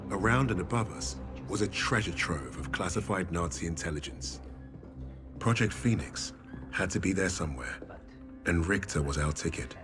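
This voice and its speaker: An actor playing a defiant army lieutenant who narrates calmly in a clipped way. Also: male voice, deep voice. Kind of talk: cutscene narration. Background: music.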